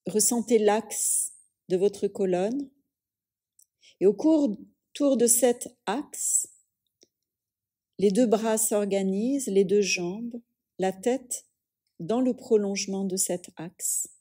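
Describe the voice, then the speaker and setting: Very slow, mellow woman guiding relaxation. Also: medium pitch, slightly rough. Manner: calm, soothing, measured phrases with long pauses. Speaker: yoga nidra teacher. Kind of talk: guided relaxation meditation.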